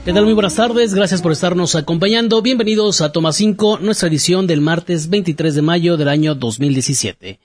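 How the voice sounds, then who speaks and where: A man hosting a radio jazz program, reading an artist's biography in a measured, formal manner. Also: high-pitched.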